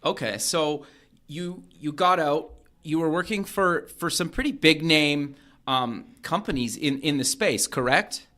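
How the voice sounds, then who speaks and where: A man's voice: on the high side, measured pace, bright, forceful tone. A man hosting an interview podcast, asking questions hesitantly with many "um"s and "kind of"s. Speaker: podcast host. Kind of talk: interview host.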